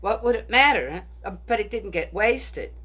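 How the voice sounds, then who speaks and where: A woman's voice: medium pitch, lively intonation, moderate pace, fairly bright tone, breathy edge, poor audio. A woman talking casually through a bible story, thinking aloud and often asking her listeners "right?". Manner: chatty, rhetorical, keeps asking "right?". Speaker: bible study speaker.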